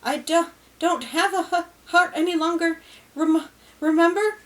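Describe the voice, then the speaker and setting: A woman's voice: extremely high pitch, measured pace, a bit bright, far from the mic, voice pushed up. A woman voicing a henpecked older man's ghost who stammers. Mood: exasperated. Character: stammering dead husband, henpecked older man.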